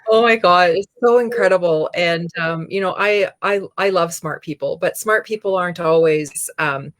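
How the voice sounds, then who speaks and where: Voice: mid-range voice, normal speed, fairly bright tone. An interview host who praises and introduces guests in an effusive, enthusiastic way, with many fillers. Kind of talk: business interview hosting.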